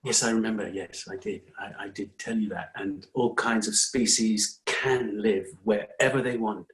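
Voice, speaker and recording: A middle-aged man playing a weary, exasperated doctor in a dramatic reading, with halting, stammering delivery. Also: on the low side, steady tone, lightly acted, low-quality recording. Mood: firm, exasperated. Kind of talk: dramatic fan fiction reading.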